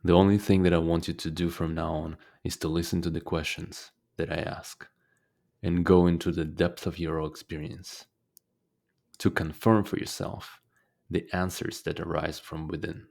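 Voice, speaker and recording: Very deep-voiced man guiding meditation. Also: vocal fry. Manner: calm, deliberate, with frequent pauses. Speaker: guided meditation teacher. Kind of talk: guided meditation narration.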